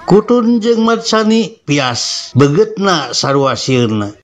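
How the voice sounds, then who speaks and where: Unhurried Sundanese male horror storyteller. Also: a little high, big pitch swings. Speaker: storyteller, channel host. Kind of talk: dramatic horror story narration. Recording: music under the voice. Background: background music.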